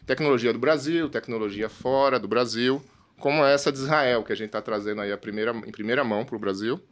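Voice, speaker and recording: A man with a Brazilian Portuguese accent hosting a conversational business discussion in a halting way, often adding tag questions. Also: mid-range voice. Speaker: host.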